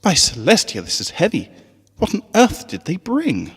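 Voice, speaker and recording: A man's voice: on the high side, huge pitch swings, normal speed, slightly rough, long reverb tail, voice pushed up, exaggerated voice. A man voicing an anxious, motherly female pony schoolteacher from a story, fretful and earnest. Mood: weary.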